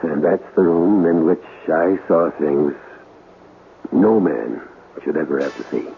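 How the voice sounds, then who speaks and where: A man acting a grave, determined ghost hunter in a radio drama, speaking in a measured, earnest, foreboding way. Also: a bass voice, vocal fry, exaggerated voice. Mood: grave, shaken. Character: grave, determined male ghost hunter, steady under pressure. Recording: noisy, muffled old radio broadcast.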